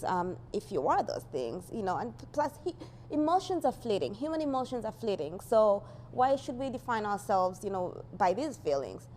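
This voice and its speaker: A woman's voice: on the high side, a little mellow, a little hiss or hum. Chatty woman, Ugandan-born artist. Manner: rambling, full of "you know" fillers; laughs easily. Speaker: artist presenting her work. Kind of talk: casual talk about her artwork.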